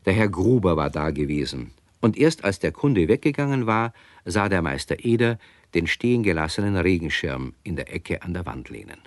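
Man, low-pitched, animated melody, dry sound, scripted children's storytelling, radio play narrator. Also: moderate pace, no echo.

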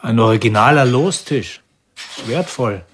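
An actor playing a polite, self-deprecating private detective in a radio drama, laconic and dry, with a husky voice. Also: male voice, mid-range voice, expressive, unhurried, slight background noise, close to an everyday voice. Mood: dry, appraising.

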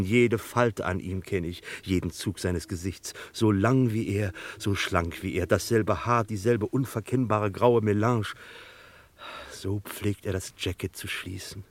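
Eager, naive low-voiced henchman. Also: no room sound. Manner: eager, deferential, gushing. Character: devoted, naive, excitable male henchman, starry-eyed and eager. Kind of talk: vintage German radio crime play acting.

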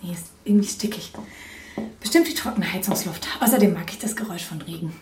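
A woman's voice: lowish voice, fast talker, darkish tone, far from the mic, natural voice. A woman acting a blunt, casual date with a dry, teasing streak in a naturalistic audio drama. She sounds a little away from the mic in a roomy space with some background noise. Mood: relaxed, curious. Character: frank, teasing woman astrophysicist on a first date.

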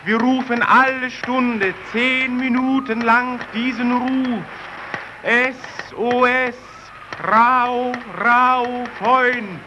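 An actor playing a desperate radio operator stranded on Arctic ice in a radio play, calling out distress messages in an urgent, repetitive chant. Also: male voice, extremely high pitch, steady tone, very slow, muffled recording, heavily acted. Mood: pleading. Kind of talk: German radio play acting, slow distress-call declamation. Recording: noisy old radio drama recording.

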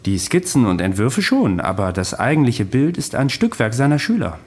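A man's voice: medium pitch, very animated, moderate pace, darkish tone, breathy edge. An actor playing a dry, candid immortal witness recounting his long past in a conversational radio drama. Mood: wry, reminiscing. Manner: matter-of-fact, wry.

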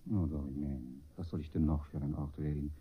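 An actor playing a suave, scheming count who flatters and woos in ornate courtly phrases in a radio comedy. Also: male voice, very deep voice, a little fast, full character voice. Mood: amorous. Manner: courtly, smooth-talking, ornate. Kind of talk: German radio play comedy acting. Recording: dull, lo-fi old radio recording.

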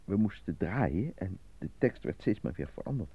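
A man playing an anxious, apolitical stage actor in a Dutch radio drama, with a theatrical manner that turns halting under nerves. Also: low voice, very animated, slightly rough, exaggerated voice. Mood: nervous, subdued. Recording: dull lo-fi radio drama recording.

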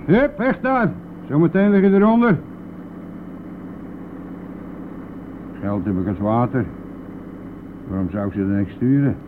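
An actor playing a boastful, brash and talkative bus driver in a radio play, with a blustery, colloquial manner. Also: male voice, medium pitch, animated, moderate pace, close to an everyday voice. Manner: blustery, colloquial, mildly swearing. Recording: narrow phone-like old radio recording, faint noise.

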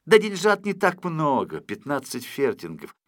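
A man's voice: on the high side, wildly swooping pitch, measured pace, a bit bright, voice pushed up, heavily acted. Man voicing a humble, earnest working fellow. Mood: modest, hopeful. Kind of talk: audiobook storybook character acting.

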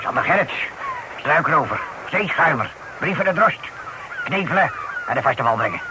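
A man's voice: fairly high pitch, lively intonation, moderate pace, lightly acted. Man playing a clipped, officious island doctor. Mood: accusing. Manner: clipped telegraphic fragments without full sentences. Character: odd, officious male island doctor and deputy bailiff. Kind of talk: old radio drama acting. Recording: noisy old radio play recording.

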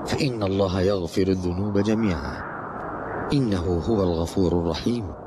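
A man plays a wise cartoon scholar with a very low voice, measured and reassuring. There is clear noise behind him. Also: natural voice. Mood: calm, reassuring. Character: calm, reassuring male religious scholar, wise and merciful.

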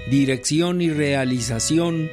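A man's voice: a little high, slow. A man with a Mexican accent reading a scripted radio announcement as a credits announcer. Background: guitar and violin music.

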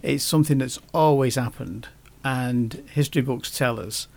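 Gravelly-voiced man, halting talker. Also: medium pitch, darkish tone. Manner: halting, many restarts and fillers. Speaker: guest. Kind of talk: casual podcast conversation.

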